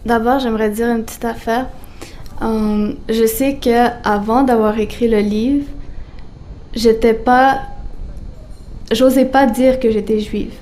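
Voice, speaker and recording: A young woman, speaking quickly and informally as a guest author. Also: on the high side, mellow, slight background noise. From Canada. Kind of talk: casual discussion.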